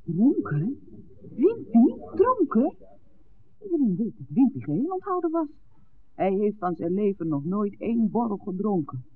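A woman's voice: medium pitch, wildly swooping pitch, measured pace, slightly rough, phone-quality sound, heavily acted. An actress playing an outspoken young village woman, an innkeeper's daughter, in a 1960s radio drama. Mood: indignant. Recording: thin, hissy old radio recording.

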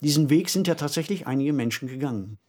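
A man talking as an expert on a podcast, explaining in a measured, didactic way and stressing key words. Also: a little high, animated, a little mellow. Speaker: expert discussion partner on a podcast. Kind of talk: conversational explanatory talk.

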